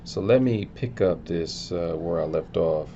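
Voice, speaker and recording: Low-voiced man, easygoing chat. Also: unhurried, mellow, slight background noise. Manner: easygoing, thinks aloud, loose and informal. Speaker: language-learning channel host answering viewer questions. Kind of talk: casual unscripted chat. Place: filming himself on camera at home. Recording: dull lo-fi recording.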